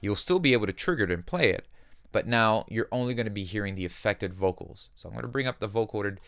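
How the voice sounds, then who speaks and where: Low, clear-voiced man teaching music production. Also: lively intonation, fast, fairly bright tone, no echo. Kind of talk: conversational software tutorial.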